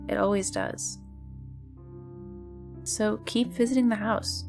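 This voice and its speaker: A woman playing a mysterious, tender host of an otherworldly late-night radio show, speaking in a measured, cryptic, intimate monologue. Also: mid-range voice, fairly even intonation, creaky, faint music behind. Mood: solemn, cryptic. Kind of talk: scripted monologue in a fiction podcast styled as radio.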